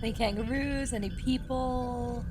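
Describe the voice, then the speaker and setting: A woman's voice: a little high, steady tone, measured pace, faint music behind. A woman chatting casually and playfully as a player in a tabletop role-playing game. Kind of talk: casual chat. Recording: loud chatter and laughter behind.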